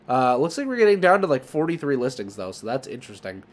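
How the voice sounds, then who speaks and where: A man's voice: mid-range voice, very animated, fast. Casual man thinking aloud as he browses. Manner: rambling, thinking aloud while browsing listings. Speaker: host of a trading card market video. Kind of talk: casual screen-share commentary.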